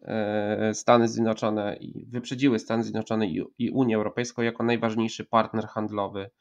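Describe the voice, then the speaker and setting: A man's voice: on the low side, little pitch movement. Hesitant man analysing on a video call. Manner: measured, many hesitations and restarts. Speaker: geopolitics commentator. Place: home room, indoors. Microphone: video call, earphones with mic. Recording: slightly dull lo-fi call audio.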